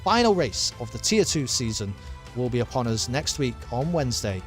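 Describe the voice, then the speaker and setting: Man commentating, voice going hoarse. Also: medium pitch, moderate pace, soft background music. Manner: excited, rambling, with many uh fillers. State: losing his voice. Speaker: sim racing commentator and streamer. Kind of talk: live race commentary and stream sign-off.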